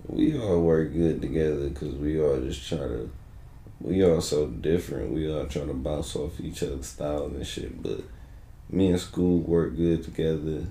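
Young rapper guy, really deep mellow voice, laid back slangy talk, echoey room. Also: moderate pace, gravelly. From Detroit, USA. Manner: laid-back, loose slang with frequent "you know what I'm saying". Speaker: rapper guest. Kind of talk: casual interview chat.

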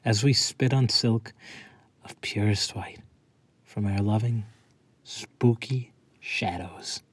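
A man's voice: fairly low pitch, steady tone, slow pace, slightly rough, no room sound. A man who is a poet, reading his own prepared words aloud. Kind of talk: scripted introduction and poetry recitation.

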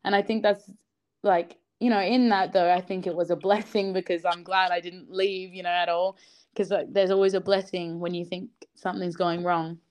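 A woman's voice: fairly low pitch, flat delivery, moderate pace, darkish tone, clear, resonant tone. A young woman talking as a podcast guest, earnest and upbeat, often laughing and using fillers like "you know". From South Australia. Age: young adult. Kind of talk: casual podcast interview.

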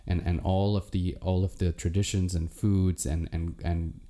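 A man interviewing, framing a long question in a halting way with repeated words. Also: low-pitched, level tone, mellow.

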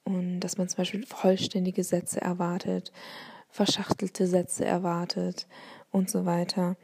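A woman's voice: on the low side, monotone, a little slow, a little mellow, husky. A woman from Bavaria with a light Bavarian accent, thinking aloud in a solo podcast monologue, with many hesitations and fillers. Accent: light Bavarian German.

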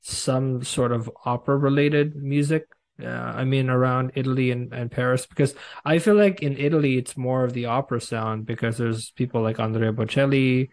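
A man hosting an interview podcast, speaking conversationally in a casual, somewhat halting way. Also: mid-range voice, steady tone, a little mellow.

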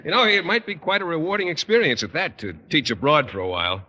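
An actor playing a bashful, earnest American biology teacher who talks hesitantly and stammers, in 1950s radio sitcom style. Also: male voice, fairly high pitch, fast, long reverb tail, very noisy, close to an everyday voice. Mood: flustered.

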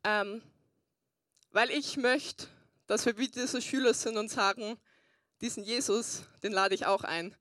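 A woman's voice: a little high, a little slow, long pauses, fairly bright tone, no room sound, studio quality. A young woman pastor preaching a sermon and telling her personal testimony, earnest with frequent fillers. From Bavaria, Germany.

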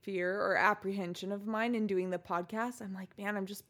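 A woman's voice: medium pitch, fairly even intonation, a little fast. Encouraging young woman co-host. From California, USA. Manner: warm, encouraging interviewer who prompts her friend. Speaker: podcast co-host. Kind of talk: casual chat.

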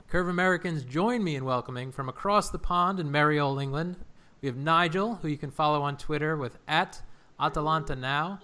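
A man hosting a casual sports podcast, enthusiastic and quick to laugh as he introduces and questions his guests. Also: on the high side, bright, forceful tone. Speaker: podcast host.

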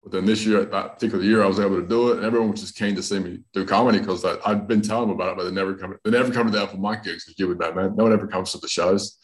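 A man's voice: deep voice, fairly even intonation, very fast, few pauses, rough recording. A man from North Carolina with a mixed Southern US and Australian accent, a comedian chatting casually as a podcast guest. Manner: laid-back, says man and dude a lot.

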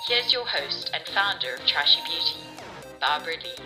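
Deep-ish lady voice doing the podcast intro, music under it. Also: animated, normal speed, poor audio. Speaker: show announcer. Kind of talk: scripted podcast intro announcement.

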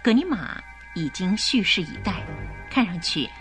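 A woman narrator with a slightly low voice and lively intonation. She tells a dramatic story with careful enunciation over soft music. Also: measured pace.

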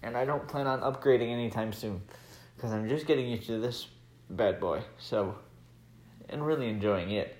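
An American man talks as the host of a casual personal podcast. His delivery is chatty and rambling, with a medium-pitched voice. It sounds like an informal audio diary recorded at home. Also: moderate pace, a little mellow. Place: indoors at home, room with laminate floor.

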